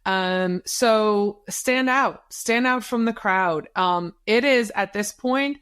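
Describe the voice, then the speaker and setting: A middle-aged woman whose first language is Dutch, speaking English as a guest in an interview. She gives career advice in an emphatic, coaching way and repeats key phrases to drive them home. Her voice sits at an ordinary pitch for a woman. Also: takes it slowly, a bit bright, clear, resonant tone. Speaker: guest, social media business owner. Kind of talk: advice-giving interview talk.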